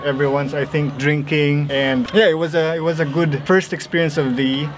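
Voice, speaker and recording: A man in his thirties from the Philippines, hosting his own vlog in a relaxed, chatty way, recorded outdoors on a city street. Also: a little high, moderate pace. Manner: chatty, relaxed, filler-heavy. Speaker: vlogger host. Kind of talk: casual vlog chat. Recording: outdoor street noise behind.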